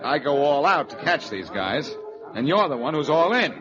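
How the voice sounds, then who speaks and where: An actor playing a cocky, quick-witted amateur detective in a 1940s radio show, glib and teasing. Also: male voice, a little high, sing-song, over loud music. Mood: confident. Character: wisecracking, confident male amateur detective hero, quick and cocky. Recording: dull old radio recording, audience noise.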